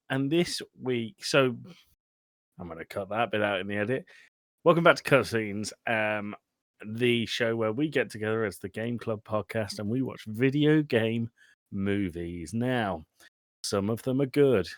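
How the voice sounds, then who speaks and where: A man's voice: fairly low pitch, unhurried, no echo, clean studio sound. A man hosting a casual film podcast, joking and teasing with frequent swearing. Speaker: podcast host. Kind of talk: casual comedic chat about films.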